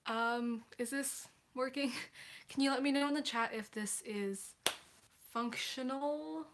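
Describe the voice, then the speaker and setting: A woman's voice: high-pitched, takes it slowly, a bit bright, slight background noise. A teenage girl hosting a casual livestream, answering viewer questions in a chatty, apologetic way with many hesitations. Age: teens.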